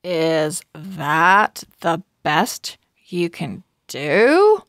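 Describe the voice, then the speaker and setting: Boastful female pet rival for a storybook, voiced by a woman, goading and haughty, swinging pitch, dry sound. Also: big pitch swings, drawn-out words, a bit bright, dry recording, heavily acted. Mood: taunting.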